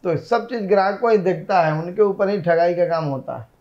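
A middle-aged man with a rather high voice answers interview questions in a plain, earnest way. His sound is dull and lo-fi, recorded in an indoor office. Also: normal speed. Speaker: shop owner interviewed. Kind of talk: casual interview.

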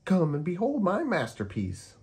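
A man's voice: sits high, wildly swooping pitch, moderate pace, darkish tone, voice pushed up, exaggerated voice. A man voicing a sweet, eager small kitten painter in a children's storybook. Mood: excited.

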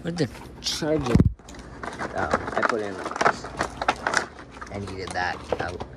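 A man's voice: low-pitched, big pitch swings, slow. A young man chatting casually in a tentative way while co-hosting an unscripted gadget review at home. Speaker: co-host of gadget review vlog. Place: small carpeted room in a house. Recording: noisy handheld home recording.

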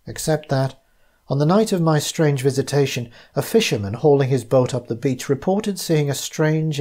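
A man reading his own first-person story as scripted narration, in a measured, literary way. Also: mid-range voice, warm tone.

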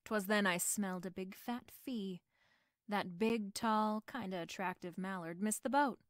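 Woman acting a wisecracking female private eye, snappy, wry, mid-pitched, dry studio sound, radio drama. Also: measured pace, dry recording, close to an everyday voice. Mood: wry narrating. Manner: snappy, wry, hard-boiled. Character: sassy, wisecracking, flirtatious female private detective. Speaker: lead actress. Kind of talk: remake of 1940s radio detective drama, with narration.

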